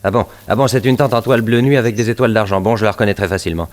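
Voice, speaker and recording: A man's voice: lowish voice, racing, close to an everyday voice. A man playing a scheming, nervously defensive murderous heir in a 1960s French radio crime drama. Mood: wary.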